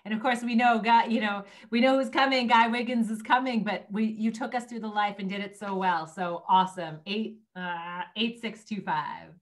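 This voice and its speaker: A woman's voice: medium pitch, fairly even intonation, normal speed, few pauses. A woman giving casual feedback as a guest judge on a video call, often checking in with tag questions.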